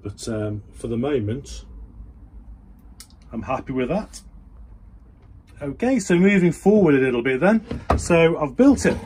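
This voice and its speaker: A man's voice: medium pitch, very animated, a little slow, long pauses, a little mellow. A middle-aged man casually talking through a hands-on build, thinking aloud as he works in a small indoor workshop. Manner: thinking aloud while working with his hands. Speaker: DIY radio hobbyist vlogger. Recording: clear background noise, room ambience.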